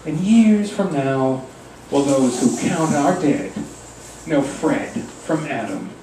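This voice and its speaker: Male poet at a live reading: slow, animated, theatrical verse delivery, recorded in a very reverberant room. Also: a little high, creaky. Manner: theatrical, deliberate verse delivery with wry asides. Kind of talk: dramatic live poetry reading. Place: pub reading room, indoors. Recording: echoey room, distant mic, faint noise.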